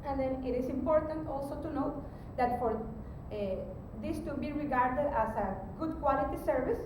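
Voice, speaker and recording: High-voiced woman presenting in an echoey room. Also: normal speed. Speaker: conference presenter. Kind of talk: explanatory technical presentation. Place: conference room, recorded from a distance. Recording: very echoey, distant mic, rumbling background noise.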